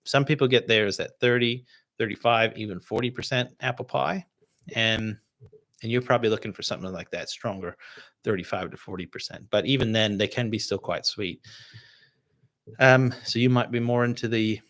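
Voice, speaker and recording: A Canadian man with a North American accent, hosting a casual livestream and answering viewer questions in a relaxed, rambling way. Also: fairly low pitch, normal speed. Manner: relaxed and rambling, with many fillers and restarts. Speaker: distiller hosting a livestream tasting.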